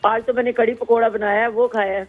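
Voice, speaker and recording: A woman calling in to a live cooking show, with a hoarse, slightly sore throat, chatting casually and teasing the contestants. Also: a little high, level tone, fast, faint music behind. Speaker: phone-in caller. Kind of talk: casual phone chat. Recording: lo-fi phone line.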